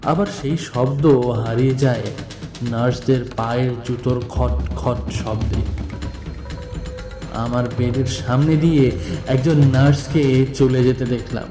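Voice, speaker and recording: A man narrating a literary story dramatically in the first person, deliberate and theatrical, with drawn-out pauses. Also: medium pitch, steady tone. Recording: echoey voice with music bed. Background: background music.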